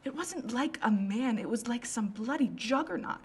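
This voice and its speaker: A woman's voice: a little high, animated, normal speed, breathy edge, heavily acted. A woman playing a well-known Victorian lady about town, recounting events dramatically in a radio drama. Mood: indignant, dramatic. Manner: dramatic storytelling, emphatic.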